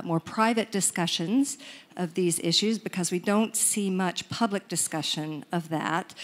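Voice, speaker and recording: Woman at a conference mic, lowish voice, measured formal question. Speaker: audience questioner. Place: large conference hall.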